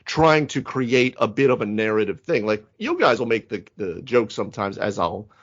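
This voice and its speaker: A man co-hosting a gaming podcast, talking casually and thinking aloud in an animated, conversational way. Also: on the low side, moderate pace, lo-fi sound. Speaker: podcast co-host. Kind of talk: casual round-table chat about tabletop gaming.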